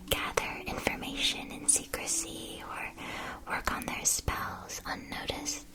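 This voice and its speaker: A gentle witch shopkeeper, played by a woman, speaks in a soft, breathy whisper. She takes her time over each phrase, careful and politely attentive, in the manner of a fantasy ASMR roleplay. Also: natural voice, poor audio.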